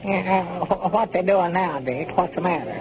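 Cranky old rural Southern storekeeper, played by a man, folksy and indignant, higher-pitched, dull vintage radio sound. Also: normal speed, noisy background, lightly acted. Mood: anxious. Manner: folksy, grumbling. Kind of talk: 1930s radio comedy acting. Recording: muffled 1930s radio broadcast recording.

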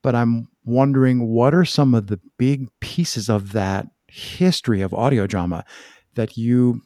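A man's voice: medium pitch, fairly even intonation, measured pace, warm tone, dry recording, clean studio sound. A man hosting a podcast, chatting informally and thinking aloud as he hedges his way toward his questions. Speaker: podcast host. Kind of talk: casual interview chat.

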